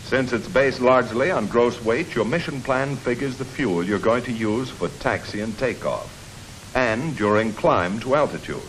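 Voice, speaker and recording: A man's voice: medium pitch, animated, normal speed. An American man reading scripted narration for a 1950s military training film. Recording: noisy 1950s film soundtrack.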